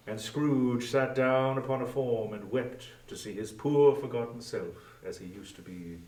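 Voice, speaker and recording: A man narrating a classic story as a dramatic, expressive scripted reading. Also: medium pitch. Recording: echoey room, distant from microphone.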